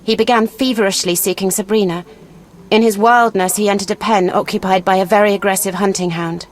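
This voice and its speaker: A woman playing a proud, vengeful young noblewoman in a fantasy video game, speaking earnestly and deliberately. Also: mid-range voice, fairly even intonation, lightly acted. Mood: bitter, reminiscing. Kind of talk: video game dialogue acting.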